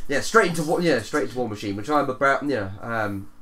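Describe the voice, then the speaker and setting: A man chatting casually as a tabletop game player, halting and hesitant, often repeating himself, in a living room. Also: mid-range voice, animated, a bit bright. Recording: noisy room, mic away from speakers.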